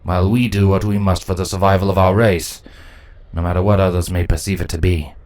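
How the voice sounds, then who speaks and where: A man's voice: low-pitched, little pitch movement, a little fast, darkish tone, pitched below the performer's own voice, close to an everyday voice. A man voicing a loyal male changeling drone captain in a story reading, formal and respectful toward authority. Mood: thoughtful.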